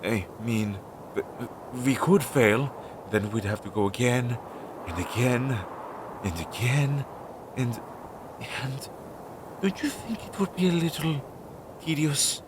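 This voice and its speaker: A man's voice: expressive, takes it slowly, long pauses. A man playing an anxious, insecure but tender crow familiar in an audio roleplay, talking to the listener in a hesitant, earnest way. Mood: worried. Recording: clearly noisy low-quality recording.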